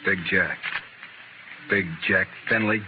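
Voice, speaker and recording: Tinny old radio sound with clear noise, deepish cool gunfighter, American, genteel, dry, dangerous. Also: male voice, level tone. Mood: dry, relaxed. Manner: dry, unhurried, coolly polite. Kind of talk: 1950s radio western acting.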